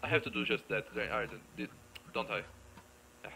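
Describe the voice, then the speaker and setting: A man's voice: on the low side, level tone, normal speed, vocal fry, pushed and bright, slight background noise. A man giving casual let's play commentary, rambling playfully and thinking aloud as he plays. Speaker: gameplay video creator.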